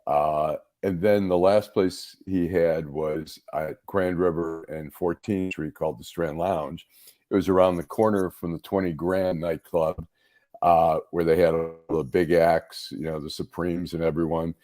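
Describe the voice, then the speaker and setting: Low, mellow-voiced man reminiscing. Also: fairly even intonation, a little slow, no echo. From Detroit area, Michigan. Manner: rambling, many restarts and fillers. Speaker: co-host. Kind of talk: casual podcast chat.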